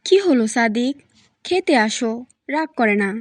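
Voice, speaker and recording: A woman's voice: a little high, animated, measured pace, dry recording. A woman voicing a coaxing cartoon mother. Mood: soothing. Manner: soothing, coaxing. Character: caring mother, gently coaxing. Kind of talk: cartoon voice acting.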